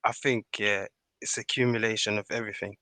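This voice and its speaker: Male panellist, sharp bright voice, plain-spoken football chat, dry sound. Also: on the low side, steady tone, fairly quick, no echo. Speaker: podcast panellist. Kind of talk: casual football discussion.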